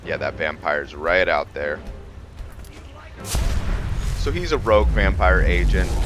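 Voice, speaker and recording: Low-voiced man doing gameplay commentary. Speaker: gamer narrating his playthrough. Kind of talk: casual gameplay commentary with roleplay.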